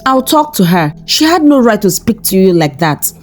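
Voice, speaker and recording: A woman's voice: medium pitch, sing-song, normal speed, soft background music, close to an everyday voice. A woman voicing a caring, troubled young husband in a folktale, speaking earnestly. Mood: reassuring.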